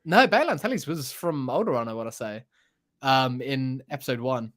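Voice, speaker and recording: A man's voice: mid-range voice, lively intonation, moderate pace, fairly bright tone. A man chatting casually about pop culture as a podcast host, hesitant and thinking aloud as he goes.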